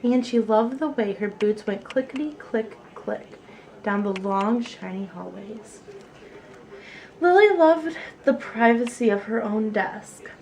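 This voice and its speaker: A woman storyteller with a fairly high voice reads a children's picture book aloud. Her pace is very slow, with expressive, deliberate phrasing. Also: rough recording.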